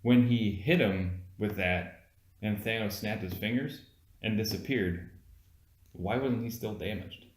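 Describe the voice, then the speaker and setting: A man's voice: fairly low pitch, unhurried. A man chatting casually as co-host of a film review, blunt and argumentative, swearing freely, recorded in an echoey room. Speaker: podcast co-host.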